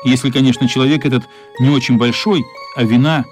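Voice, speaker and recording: A man's voice: medium pitch, fairly even intonation, a little fast, darkish tone, soft background music. A man narrating a radio play as dramatic scripted storytelling, expressively voicing the characters' thoughts.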